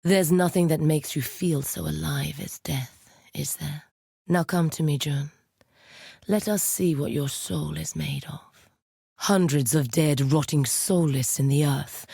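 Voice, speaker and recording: A woman playing a commanding, coldly seductive necromancer villainess in a video game, speaking in a grandiose, deliberate, menacing way. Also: low voice, creaky, mellow, slightly rough, no echo, clean studio sound, full character voice. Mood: hushed menace, then grim, contemptuous.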